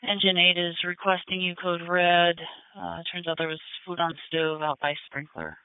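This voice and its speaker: A man's voice: high voice, flat delivery, normal speed, clean tone, no echo. A man dispatching police and fire units over the radio in a matter-of-fact, procedural manner. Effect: police and fire radio transmission. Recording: tinny, dry narrowband scanner radio.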